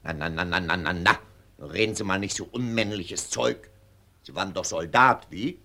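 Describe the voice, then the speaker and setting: An actor playing a pompous older colonel in a German radio drama, clipped, condescending and blustering. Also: male voice, low-pitched, normal speed, gravelly, pushed and bright, full character voice. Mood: irritated, dismissive. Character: pompous, brisk older colonel and family patriarch.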